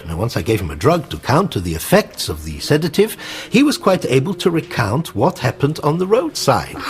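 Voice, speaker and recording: Animated, weary older doctor. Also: male voice, mid-range voice. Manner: deliberate, analytical. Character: weary older male psychiatrist heading a clinic, probing, wistful. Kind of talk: radio drama acting.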